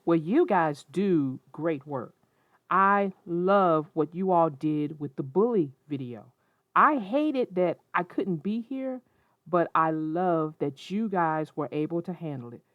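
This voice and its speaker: A woman's voice: on the low side, animated, slow pace, dry recording, phone-quality sound. A woman host narrating a children's storybook as a read-aloud. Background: music, plucked guitar.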